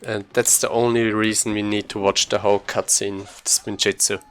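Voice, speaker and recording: Male gamer, lowish voice, casual speedrun commentary, halting delivery as he thinks through his moves. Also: level tone, clean tone. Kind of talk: casual live gaming commentary.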